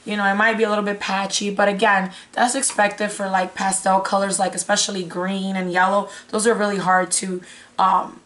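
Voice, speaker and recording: A young woman hosts a casual unboxing vlog in a hoarse, raspy voice at a medium pitch. She thinks aloud and breaks off her own sentences in a chatty way. Her voice sounds like it is giving out. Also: flat delivery, moderate pace, a bit bright. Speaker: beauty vlogger host. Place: small indoor room with curtain backdrop.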